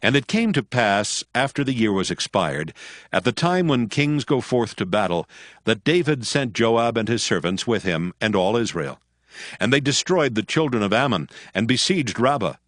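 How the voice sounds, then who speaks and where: Low-voiced man narrating scripture solemnly. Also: a bit bright, dry recording, clean studio sound. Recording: music behind the voice.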